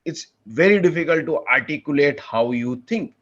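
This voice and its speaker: A man's voice: fairly high pitch, expressive, normal speed, a bit bright, muffled recording. A young man from India, a PhD student chatting as a podcast guest in an earnest, enthusiastic way, often restarting his sentences. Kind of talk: casual conversational interview.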